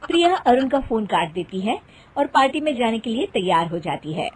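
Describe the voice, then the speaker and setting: Lady storyteller reading a scripted tale, high voice, really animated intonation. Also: moderate pace, noisy background. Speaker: story narrator.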